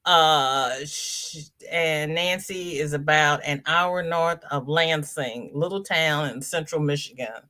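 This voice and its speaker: A woman's voice: sits low, slow pace, sharp tone. A congested woman hosting a casual live crafting chat, greeting viewers by name and reading out their comments. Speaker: livestream host.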